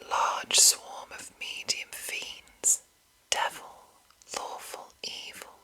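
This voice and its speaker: Woman, ASMR whisper, very breathy, extremely slow, deliberate reading. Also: rumbling low, bright, forceful tone, rough recording. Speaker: ASMR host reading a monster manual.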